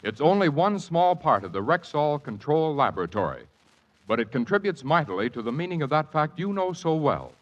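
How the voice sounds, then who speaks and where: An American man narrating a 1940s radio advertisement in a measured, solemn, polished manner. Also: fairly high pitch, big pitch swings. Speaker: commercial announcer. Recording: dull 1940s radio recording.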